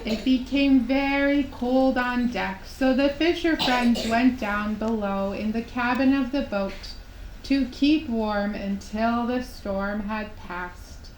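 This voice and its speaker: Female storyteller for children's puppet show, higher-pitched, gentle and very unhurried. Roomy live recording. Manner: gentle, slow, deliberate phrasing with pauses. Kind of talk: children's storytelling, scripted narration. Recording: roomy echo, clear background noise.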